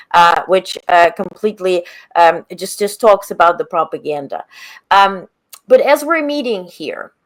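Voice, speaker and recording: A woman from Ukraine with a Ukrainian accent, a native Russian speaker, interviewing a guest in an earnest, halting way. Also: lowish voice. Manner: earnest, pauses with many "um"s. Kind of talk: conversational interview.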